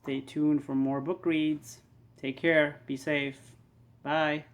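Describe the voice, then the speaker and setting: A woman's voice: sits low, flat delivery, takes it slowly. A woman giving a casual sign-off for a children's read-aloud video. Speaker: co-presenter signing off a read-aloud.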